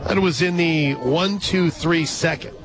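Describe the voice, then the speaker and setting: An American man doing live radio play-by-play of a softball game, chatting conversationally between pitches. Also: measured pace. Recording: loud crowd and music behind outdoor broadcast. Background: ballpark crowd and loud music.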